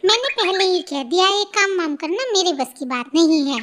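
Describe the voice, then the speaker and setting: A woman's voice: very high-pitched, expressive, normal speed, background noise. A woman voicing a lazy, work-shy married female bird in a children's cartoon story, in a complaining, self-pitying manner. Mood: irritated, defiant.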